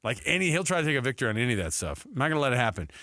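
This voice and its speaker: American guy doing sports radio banter, really lively pitch swings, forceful voice. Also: rapid-fire, no room sound. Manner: animated, emphatic, conversational.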